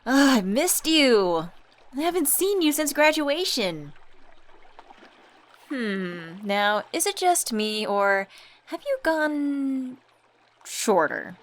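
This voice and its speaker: A woman's voice: high-pitched, sing-song, takes it slowly, long pauses, heavily acted. A young woman acting as a teasing, affectionate old friend in a casual ASMR comfort roleplay. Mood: excited, teasing. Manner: playful, teasing, familiar slangy chat.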